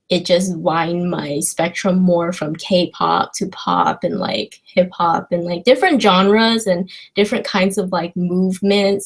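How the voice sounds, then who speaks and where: A young woman from China chatting informally as a livestream host, rambling and hesitant as she addresses her viewers. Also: lowish voice. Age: young adult. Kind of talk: casual livestream chat answering viewer questions.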